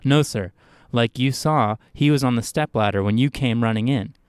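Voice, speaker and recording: A man's voice: medium pitch, normal speed, smooth, no room sound, lightly acted. A man voicing a nervous, defensive employee who protests earnestly and insistently in a spoken short story. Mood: pleading.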